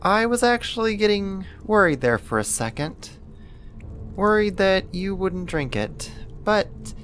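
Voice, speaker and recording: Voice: medium pitch, a bit bright. A performer playing a possessive yandere neighbor who kidnaps, sweet yet menacing, in an intimate, coaxing ASMR roleplay monologue. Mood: gloating. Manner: coaxing, slow, deliberate, condescending endearments.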